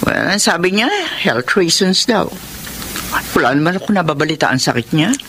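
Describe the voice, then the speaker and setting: An actress playing a loving, trusting but worried wife in a radio drama, affectionate and expressive, with a Filipino accent. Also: female voice, fairly low pitch, moderate pace, noisy background, natural voice. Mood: affectionate, concerned. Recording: music under radio drama voice.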